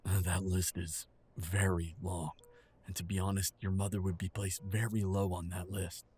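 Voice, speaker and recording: A man's voice: low-pitched, flat delivery, normal speed, a little mellow, slight background noise, close to an everyday voice. A man playing an aging, earnest father in an audio drama, defensive and hesitant with his grown son. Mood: grave, apologetic. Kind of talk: audio roleplay drama acting.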